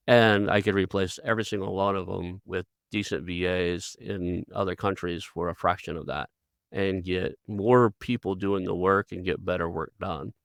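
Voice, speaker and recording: Gravelly deep-voiced Oklahoma man. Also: no room sound, studio quality. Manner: rambling, folksy, restarts often. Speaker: podcast host. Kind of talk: conversational interview.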